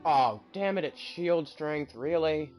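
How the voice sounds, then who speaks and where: A woman does casual live gameplay commentary. Her voice is low, with animated pitch movement, and game music plays clearly behind her as she talks and reads dialogue aloud. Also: slow pace. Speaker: game streamer.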